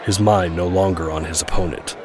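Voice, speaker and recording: A man's voice: sits low, steady tone, brisk. A man narrating a scripted audio drama, describing the action. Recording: clear urban background noise.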